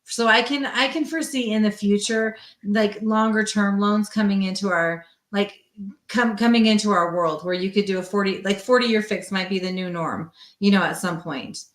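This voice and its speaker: A woman's voice: mid-range voice, moderate pace. Talkative woman in an echoey room. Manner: talkative, emphatic, filler "like". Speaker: mortgage lender, guest expert. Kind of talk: casual, informal explaining. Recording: echoey, roomy sound.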